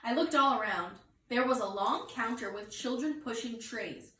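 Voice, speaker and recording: A woman teacher reading a children's story aloud with expressive storytelling. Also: a little high, moderate pace. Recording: roomy echo, distant mic.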